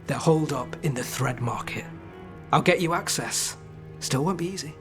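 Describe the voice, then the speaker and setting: An actor playing a wry, scheming criminal informant in a video game, bargaining in an informal, sly way. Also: male voice, a little high, moderate pace, music in the background, natural voice. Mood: sly, conspiratorial.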